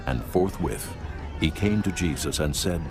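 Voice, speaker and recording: A man narrating scripture in a steady, measured storytelling manner, with music behind. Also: rumbling low.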